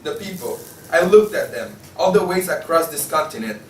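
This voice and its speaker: A man's voice: fairly high pitch, expressive, normal speed, far from the mic, close to an everyday voice. A man playing a jaded, disillusioned journal editor in a table read of a stage play, stumbling now and then over his lines. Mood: weary, bitter. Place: indoor room, table read. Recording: echoey room from afar, faint noise.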